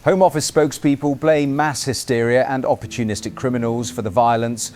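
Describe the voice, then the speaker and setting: A man playing a composed radio news presenter, with a formal, measured broadcast delivery. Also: mid-range voice, a little mellow, natural voice. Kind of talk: scripted broadcast news narration. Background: music bed.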